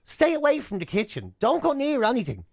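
An Irish male radio co-host in full comic banter. His voice sits high and swings widely in pitch, with a mock-exasperated, exclamatory delivery in casual conversation. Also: wildly swooping pitch, fairly quick, vocal fry, no room sound.

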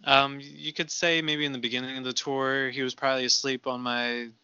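A man co-hosting a comedy podcast, dry and playful, quick to laugh. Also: mid-range voice, level tone, bright, forceful tone, clear, resonant tone, no room sound, lo-fi sound. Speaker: podcast co-host. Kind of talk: comedic casual chat.

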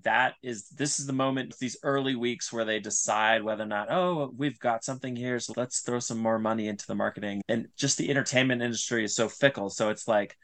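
A man's voice: on the low side, fairly bright tone. A man speaking as a guest creator in a casual, conversational podcast interview. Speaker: podcast guest, writer and audio series creator.

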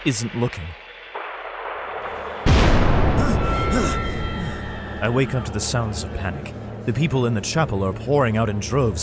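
A man's voice: mid-range voice, natural voice. A man acting a worried, protective father who narrates his own story in a sci-fi audio drama. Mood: alarmed. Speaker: narrator and lead actor. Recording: loud music under the voice. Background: dramatic music.

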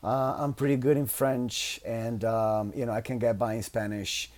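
A man's voice: medium pitch, fairly even intonation, normal speed, a little mellow. A man in his thirties from Italy with an Italian accent, a guest telling his life story in a loose, rambling way full of restarts. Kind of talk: casual interview chat.

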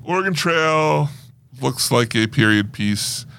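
A man's voice: medium pitch, animated, takes it slowly, vocal fry, bright, forceful tone, smooth. A man co-hosting a podcast, talking casually in a movie review discussion. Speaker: podcast co-host.

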